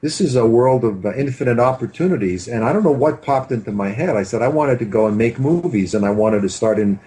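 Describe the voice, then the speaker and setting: A man's voice: medium pitch, expressive, brisk, mellow. A man, a film director reminiscing in an interview in a hesitant, rambling way over a video call. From Canada. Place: home room with bookshelves. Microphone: computer mic on video call.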